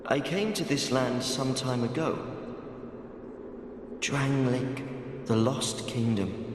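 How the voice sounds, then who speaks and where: A man voicing a gentle, absent-minded wandering mapmaker in a video game, courteous and hesitant, his thoughts drifting. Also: mid-range voice, slow, natural voice. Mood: wistful, courteous. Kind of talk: video game character dialogue. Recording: echoey long reverb, clear background noise.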